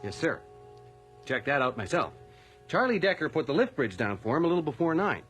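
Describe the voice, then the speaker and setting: A man's voice: fairly high pitch, sing-song, brisk, fairly bright tone, soft background music. A man acting in a radio drama as an earnest, deferential police constable with an official manner. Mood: earnest, explaining.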